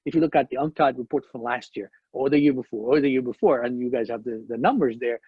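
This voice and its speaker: A man from Ethiopia, a conference panelist, explaining his points in a measured way with frequent restarts. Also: medium pitch, slightly rough, no room sound, phone-quality sound. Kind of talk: panel discussion.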